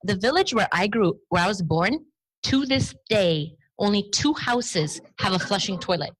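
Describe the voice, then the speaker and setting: A woman's voice: mid-range voice, animated, normal speed, husky, poor audio. A woman in her thirties, born in Romania with Romanian as her first language, telling her family story in a chatty conversational interview. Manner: chatty, storytelling, laughs easily. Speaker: guest.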